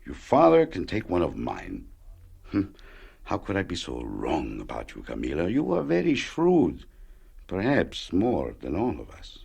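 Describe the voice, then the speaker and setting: An actor playing a sad, lonely old widower in a radio play, tender and halting, with a gravelly, husky voice. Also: male voice, low-pitched, wildly swooping pitch, a little mellow, heavily acted. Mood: surprised, glad.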